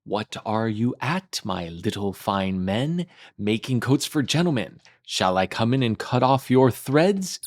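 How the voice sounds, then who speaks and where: A man's voice: mid-range voice, animated, measured pace, dry recording, studio quality. A man voicing tiny merry mice that chant teasing rhymes in a singsong way for a storybook. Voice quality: little, twittering. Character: tiny merry singing mice, taunting a cat.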